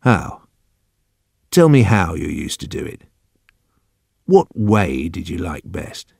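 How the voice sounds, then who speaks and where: A man voicing a calm, cunning, commanding old man with slow, deliberate speech in an audiobook story. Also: on the low side, vocal fry, dry recording, studio quality. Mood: gentle, coaxing.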